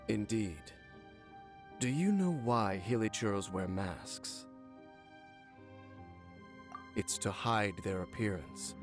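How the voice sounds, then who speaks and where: Low, solemn cursed knight played by a man. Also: natural voice. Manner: formal, measured, solemn speech. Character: brooding, cursed centuries-old former royal guard captain, grave and guarded. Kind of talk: video game character dialogue. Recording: game audio with background music. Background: game music.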